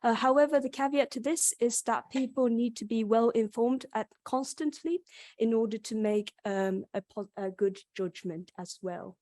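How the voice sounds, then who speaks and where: A woman's voice: a little high, moderate pace, mellow, no room sound. A young woman with a Yorkshire accent, a PhD student giving an academic conference presentation, with frequent ums and restarts. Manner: hesitant, many ums and restarts.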